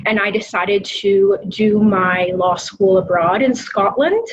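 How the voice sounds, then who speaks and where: A woman's voice: mid-range voice, slow pace. A woman with a Canadian accent introducing herself in a conversational way as a webinar panelist. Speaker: panelist, articling student. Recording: dull webinar audio, clear background noise.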